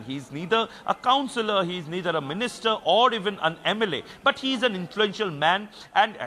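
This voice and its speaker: A man explaining a story live as a senior news editor, halting and repeating words for emphasis. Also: high voice, sharp tone. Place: regional television newsroom.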